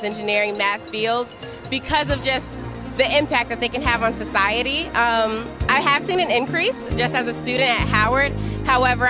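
A young woman talks enthusiastically about her studies, her voice slightly high and bright in spirit. Clear background music runs under her words at an exhibition hall. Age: young adult. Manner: upbeat, enthusiastic. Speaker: engineering student. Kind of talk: casual interview.

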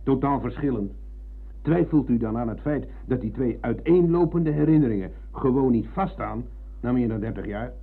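A man's voice: mid-range voice, slightly rough. An actor playing a rambling, argumentative older ex-soldier in a radio play, hesitating and digressing as he reminisces. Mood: insistent, argumentative. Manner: digressive, hesitant searching, tag questions. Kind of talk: Dutch radio play acting. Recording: muffled phone-like old radio recording.

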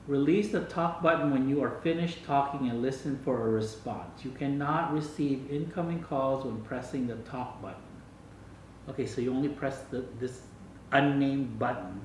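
A man's voice: medium pitch, moderate pace. An older man chatting casually as he hosts a home vlog, testing out a gadget. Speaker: vlog host. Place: dining room at home. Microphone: camera microphone across the room. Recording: echoey room, distant mic, faint noise.

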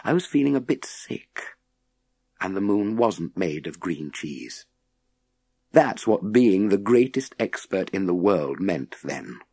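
Man as a wry, deliberate ex-astronaut. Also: low-pitched, sing-song, warm tone, breathy edge, no room sound, exaggerated voice. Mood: wry, intense. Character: world-weary, wry, authoritative male former astronaut.

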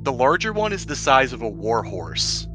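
A man with a mid-pitched voice acts as game master at a tabletop session. He sets out scenes and rules conversationally. Music plays clearly behind him. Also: sing-song, moderate pace. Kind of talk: narrating and refereeing a tabletop game. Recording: music bed under the voice. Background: prominent background music.

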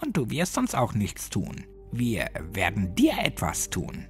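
A man voicing a cruel, hateful monstrous little girl in a horror audiobook, menacing and deliberate. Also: fairly low pitch, wildly swooping pitch, faint music behind, exaggerated voice. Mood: cold menace.

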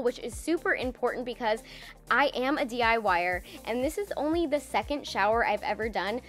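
Brief: young woman, eager DIY vlogger voice-over, faint music underneath. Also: fairly high pitch. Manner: enthusiastic, upbeat and explanatory. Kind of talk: voice-over narration of a DIY project. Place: voice-over, not recorded in the pictured bathroom.

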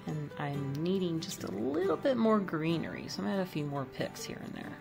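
A woman's voice: fairly low pitch, very animated, brisk, vocal fry, over music. A woman chatting casually as she decorates her home kitchen, thinking aloud about each piece. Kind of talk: casual voice-over demo.

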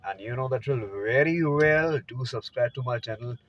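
A man's voice: mid-range voice, moderate pace, a little hiss or hum. A man in his thirties with an Indian accent, giving an emphatic, informal English lesson into a phone inside a car. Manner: emphatic, demonstrative, swinging between soft and loud. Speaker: English teacher. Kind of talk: informal video lesson to camera. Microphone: phone at arm's length. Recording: dull lo-fi phone audio.

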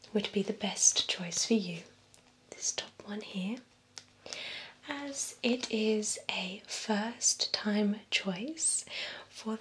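A young woman performs a calm female vampire beautician in an ASMR roleplay. Her voice is a soft, breathy whisper, very slow and deliberate, with gentle attention to each client. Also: mid-range voice, close to an everyday voice. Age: young adult. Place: small indoor room with bookshelves.

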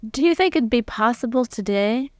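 An American woman in her forties interviewing a guest on stage in a chatty, animated, conversational way. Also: on the high side, moderate pace, no room sound, studio quality. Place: on stage before a live audience. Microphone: large microphone on a stand, close.

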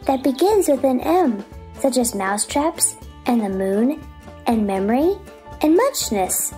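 A woman's voice: high-pitched, big pitch swings, unhurried, warm tone, faint music behind. A woman voicing a sleepy, touchy dormouse telling a rambling story in a stage play reading. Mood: dreamy. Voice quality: hoarse, feeble voice.

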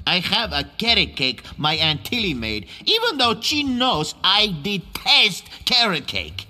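A man's voice: high voice, huge pitch swings, a little slow, sharp tone, faint music behind, heavily acted. A man playing a wretched, pleading prisoner in a cartoonish video game, whiny and theatrical. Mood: chatty, rueful. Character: pleading, foul-breathed, wretched male jailbird.

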